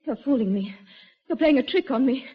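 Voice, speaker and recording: Bewildered girl captive, high-pitched, trembling, pleading, muffled old radio. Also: animated, brisk, slightly rough. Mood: agitated, tearful. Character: frightened, bewildered young woman prisoner, close to tears. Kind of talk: old-time radio melodrama. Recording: dull old radio broadcast, faint music.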